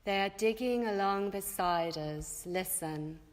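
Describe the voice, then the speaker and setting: A woman yoga teacher narrating a guided relaxation in a soothing, measured way with long pauses between phrases. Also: mid-range voice. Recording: echoey room with long reverb.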